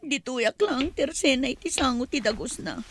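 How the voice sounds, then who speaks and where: A woman's voice: sits high, expressive, normal speed, slight background noise. A woman acting an obsessed, clingy and jealous girlfriend in an Ilocano comedy drama, with an Ilocano Filipino accent and a whiny, melodramatic manner. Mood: scheming, determined.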